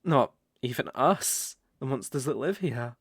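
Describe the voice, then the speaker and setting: A performer voicing a smooth-talking naga captor in an ASMR roleplay, doting and sweetly sinister, coaxing with drawn-out endearments. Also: mid-range voice, expressive, no echo, clean studio sound. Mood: hushed storytelling. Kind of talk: ASMR roleplay, slow intimate storytelling.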